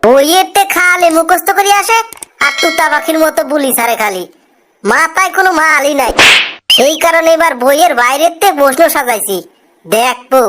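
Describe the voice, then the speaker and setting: A woman's voice: high voice, noisy background. A woman voicing a weary, grumbling cartoon job interviewer in colloquial Bangladeshi dialect, given to easy praise. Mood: exasperated, grumbling. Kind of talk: comic cartoon dialogue.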